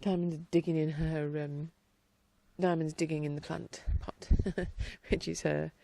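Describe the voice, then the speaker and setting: A woman host chatting casually outdoors in her garden, rambling along with a blocked-up nose and dropping quieter at times. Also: low voice, little pitch movement, measured pace, vocal fry, dry recording. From England. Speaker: podcast host. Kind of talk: casual chat.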